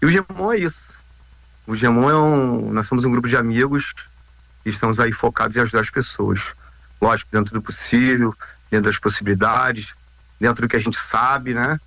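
A man's voice: mid-range voice, brisk, bright, forceful tone, no echo, poor audio. A man in his fifties with a Rio de Janeiro Brazilian accent, speaking informally as an interview guest, repeating phrases and adding tag questions. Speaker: interview guest, volunteer rescue group founder.

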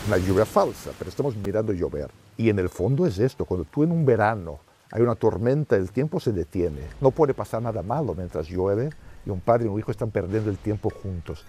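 Middle aged guy from brazil, filmmaker musing in an interview, lowish mellow voice. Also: slight background noise. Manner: thoughtful, musing, with frequent tag questions. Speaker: documentary filmmaker. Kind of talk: reflective interview answers.

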